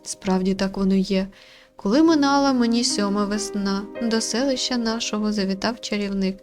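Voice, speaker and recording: An earnest young villager, played by a woman reading a game speaks solemnly in old-fashioned phrases. The voice sits at a middling female pitch, with faint music behind it. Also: moderate pace, natural voice. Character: earnest young male villager dreaming of magic.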